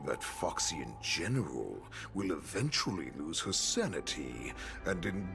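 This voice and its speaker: An actor voicing a menacing male wolf-beast warlord in a video game, gravelly, taunting and theatrical. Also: sing-song, music in the background, exaggerated voice. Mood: gloating, ominous. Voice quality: deep, gravelly. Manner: taunting, theatrical, drawn-out delivery. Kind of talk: dramatic video game voice acting.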